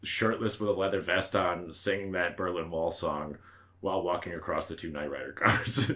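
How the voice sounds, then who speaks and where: Man, deep voice, casual podcast chat, joking, blunt, foul-mouthed. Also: steady tone, moderate pace, pushed and bright. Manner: sweary, blunt. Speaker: podcast co-host.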